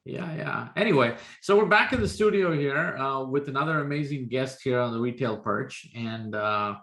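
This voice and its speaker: A man's voice: medium pitch, normal speed, fairly bright tone. A man co-hosts a podcast from a studio, with a mid-range voice carried by a roomy echo. He talks in an upbeat way with plenty of fillers. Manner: upbeat, filler-heavy hosting. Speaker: podcast co-host. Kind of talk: podcast interview.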